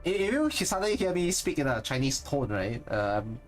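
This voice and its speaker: A man with a Singaporean accent chatting casually with viewers on a live stream, rambling and correcting himself as he goes. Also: mid-range voice. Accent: Singaporean English. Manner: rambling, thinks aloud and corrects himself mid-sentence. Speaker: streamer and content creator.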